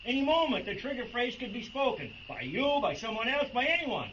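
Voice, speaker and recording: An actor playing a stern, menacing military major in a science fiction radio drama, commanding and forceful. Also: male voice, very high voice, very animated, a little fast. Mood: grim warning. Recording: distant, dull, faintly noisy radio drama.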